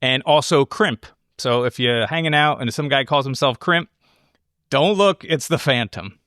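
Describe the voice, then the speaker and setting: A man's voice: medium pitch, moderate pace, sharp tone, dry recording, clean studio sound. A man hosting a casual film discussion, thinking aloud in rambling, speculative explanations. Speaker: podcast host analyzing a film.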